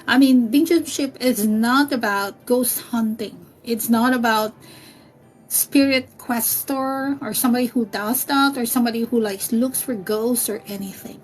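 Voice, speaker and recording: Chatty woman with a high voice. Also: a little slow. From Philippines. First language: Tagalog. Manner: chatty, fretting over technical glitches. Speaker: livestream host, psychic medium. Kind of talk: casual livestream chat. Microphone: computer or phone mic on a live stream. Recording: dull lo-fi livestream audio.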